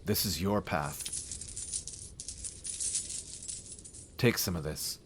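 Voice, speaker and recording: A man playing a steadfast, grave goblin warrior in a fantasy audio drama, speaking in measured formal phrases. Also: sits low, a bit bright, faint music behind. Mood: accepting. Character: loyal male goblin warrior, gruff steadfast comrade.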